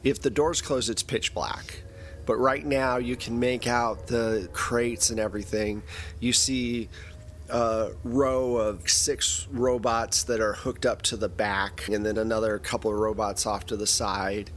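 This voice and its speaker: A man's voice: lowish voice, fairly even intonation, a little slow, slight background noise. A man narrating a sci-fi tabletop game as game master, describing scenes and asking the players what they do. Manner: descriptive, prompting players with questions.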